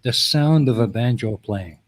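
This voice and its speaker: A man born in the Netherlands chats casually on a podcast, his pitch moving up and down a lot as he talks. He delivers dry, wry jokes in a middling voice. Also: expressive, a little slow. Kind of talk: casual chat.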